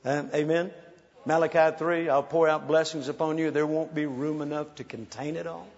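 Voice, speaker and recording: A man's voice: a little high, steady tone, normal speed. A man in his sixties preaching a sermon, urging the crowd to praise and telling playful stories. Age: 60s. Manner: exhorting, calls for praise, playful storytelling. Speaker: pastor. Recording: muffled sound in a large reverberant hall.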